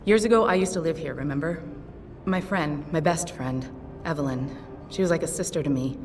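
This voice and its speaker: A tough, stubborn female pilot from a video game, voiced by a woman with a lowish, clipped delivery and plenty of swearing. The voice sits back from the mic in a long reverb, with music underneath. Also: fairly quick, darkish tone, far from the mic, a little hiss or hum, natural voice. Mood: upset. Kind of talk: video game cutscene dialogue.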